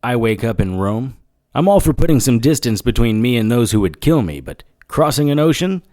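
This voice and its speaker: A man's voice: medium pitch, sing-song, a little fast, darkish tone, no room sound, clean studio sound, natural voice. A man acting a wry, hard-boiled occult detective who narrates and talks in a noir radio drama. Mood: wry banter. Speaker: narrator and lead character.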